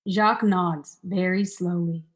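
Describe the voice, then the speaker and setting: A woman's voice: on the low side, fairly even intonation, unhurried. A young woman reading stage directions as the narrator of a scripted radio play. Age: young adult.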